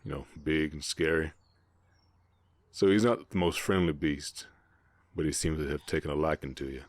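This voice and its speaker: A man voicing a big, gentle, guarded orc ranch hand with a Southern US accent, speaking politely and haltingly in an intimate Western ASMR roleplay. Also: extremely low pitch, steady tone, dry recording. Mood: tender, earnest. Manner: halting, polite, soft-hearted drawl with folksy turns.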